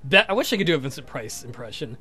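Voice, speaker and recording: A man hosts a let's play, reading a visual novel aloud with self-mocking asides. His delivery is lively and bright, over faint music. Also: medium pitch, fast.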